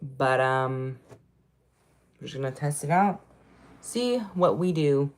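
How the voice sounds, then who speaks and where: A woman with a low-pitched voice hosts a relaxed livestream. She chats in a loose, upbeat way, often repeats her phrases, and stops to greet viewers as they drop in. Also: slow pace, noisy background. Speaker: livestream host. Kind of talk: casual livestream chat.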